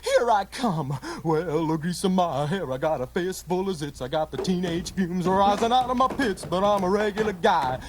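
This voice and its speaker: Man playing an excitable, whiny teenage greaser. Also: high voice, moderate pace, hardly any pauses, crowd noise. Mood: cocky, flustered. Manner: whiny, stammering, slangy. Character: exasperated, evasive 1950s teenage greaser son. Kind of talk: comedic radio theater acting.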